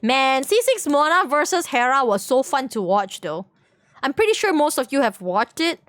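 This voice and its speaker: A woman's voice: sits high, big pitch swings, normal speed, dry recording. A woman livestreamer chatting casually with viewers in a rambling, slangy way, often repeating her phrases. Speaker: game livestreamer. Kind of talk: casual stream chat with viewers.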